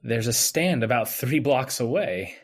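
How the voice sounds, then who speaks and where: Man acting a husky, bewildered wronged man. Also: mid-range voice, expressive, measured pace. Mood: reluctant. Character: bewildered, wronged man insisting on his innocence. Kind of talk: old-time radio drama acting.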